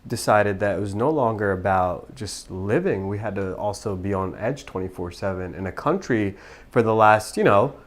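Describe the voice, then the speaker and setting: A man's voice: fairly low pitch, normal speed. A man in his thirties from Pakistan, telling his own story as a podcast guest, earnest and reflective. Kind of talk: personal story in a podcast interview.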